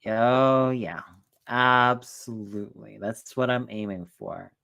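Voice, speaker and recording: A man's voice: fairly low pitch, takes it slowly, creaky, pushed and bright, muffled recording. A young man chats casually as the host of a stream. He rambles and often circles back with fillers before landing on his point. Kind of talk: casual chat.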